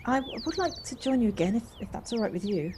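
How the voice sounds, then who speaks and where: A woman's voice: fairly high pitch, sing-song, fast talker, a little mellow. A woman playing an eager, inquisitive newcomer in her forties in an amateur audio drama, sometimes hesitant. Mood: hesitant, warm. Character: inquisitive, eager forty-five-year-old woman newcomer. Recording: noisy home recording on personal device.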